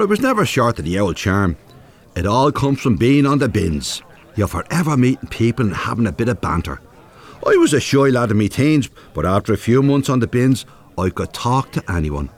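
A man's voice: mid-range voice, big pitch swings, normal speed. An actor playing a plain-spoken, good-hearted older retired binman with a north Dublin accent in a radio drama. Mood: proud, earnest. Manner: earnest, folksy, bantering. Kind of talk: naturalistic radio drama acting.

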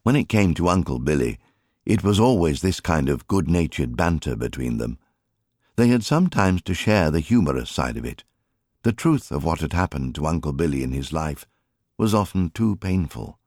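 Deep male storyteller, dry close sound. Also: moderate pace, no room sound. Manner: expressive storytelling. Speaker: audiobook narrator. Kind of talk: scripted literary narration.

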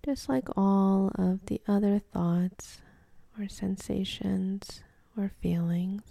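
Very mellow, slow woman guiding meditation. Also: mid-range voice, steady tone, vocal fry, dry recording. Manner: gentle, unhurried instruction with pauses. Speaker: meditation guide. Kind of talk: guided meditation.

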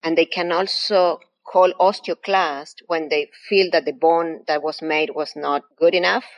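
Low-voiced woman with an Argentine accent. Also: fairly even intonation, a little slow, highs cut off. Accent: Argentine Spanish. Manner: patient, methodical explaining. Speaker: anatomy professor, guest. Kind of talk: explanatory interview answers.

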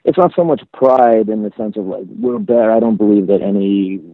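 A man's voice: fairly low pitch, no room sound. Man talking over a tinny phone line. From Iran. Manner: reflective, halting, many restarts and fillers. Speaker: photographer guest. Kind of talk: casual interview conversation.

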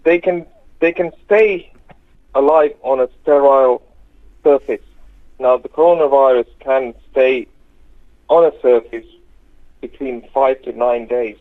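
A male GP from Birmingham, speaking as a radio guest, explaining in a measured, halting way. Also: mid-range voice, no echo. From Birmingham, England. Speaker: GP, medical guest. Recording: tinny phone line.

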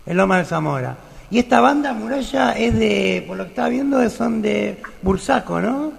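A man hosting a casual radio interview, relaxed and hesitant with many fillers. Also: sits high, normal speed, creaky, long reverb tail. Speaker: radio host.

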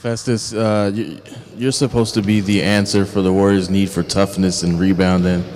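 A man's voice: sits low, level tone, moderate pace, a little mellow, a little hiss or hum. A male sports reporter asking questions on a reverberant press conference call.

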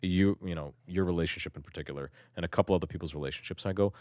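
Low-voiced man rambling in casual chat. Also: rapid-fire, a little mellow, no room sound, clean studio sound. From Turkey. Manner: rambling, profane, self-mocking, with many restarts.

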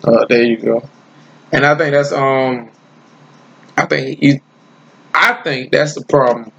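A man's voice: mid-range voice, steady tone, slow pace, creaky, fairly bright tone, husky, dull audio. A man from South Carolina, talking bluntly and profanely in a casual podcast chat. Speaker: podcast co-host.

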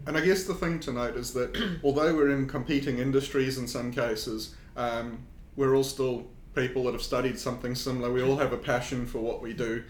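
A man's voice: steady tone, a little fast, hardly any pauses. A man with a South African accent, a consulting engineer talking as a podcast guest in a casual, hesitant way with many fillers and restarts. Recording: echoey room with faint rumble.

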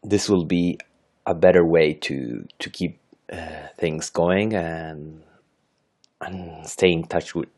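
Hesitant Chilean man, very low voice. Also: slow, a little mellow. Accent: Chilean Spanish. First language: Spanish. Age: 30s. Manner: hesitant, many fillers and self-corrections. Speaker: podcast host. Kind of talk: unscripted solo podcast.

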